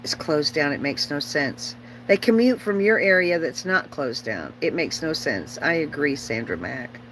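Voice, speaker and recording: A woman's voice: sits low, lively intonation, moderate pace, a bit bright, a little hiss or hum, low-quality recording. A middle-aged woman chatting casually on a livestream as host, rambling and opinionated, bluntly repeating herself for emphasis.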